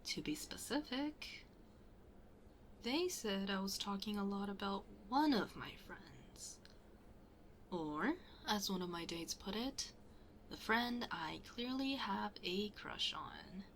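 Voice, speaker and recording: A woman's voice: mid-range voice, lively intonation, slow, fairly bright tone, a little hiss or hum. A woman playing a shy, flustered best friend hiding a crush in an intimate ASMR roleplay, hesitant and often dropping to a near-whisper. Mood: embarrassed, confessing.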